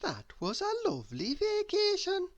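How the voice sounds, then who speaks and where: A woman's voice: extremely high pitch, huge pitch swings, a little slow, dull audio, pitched above the performer's own voice, heavily acted. A woman voicing a prim, fussy little storybook lady with a dainty, careful delivery. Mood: pleased, prim.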